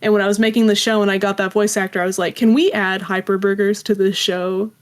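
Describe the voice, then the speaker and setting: A woman's voice: mid-range voice, flat delivery, fast. A young woman chatting casually as an interview guest about her creative work, with wry, self-deprecating asides. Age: young adult. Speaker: guest, indie animation and audio drama creator.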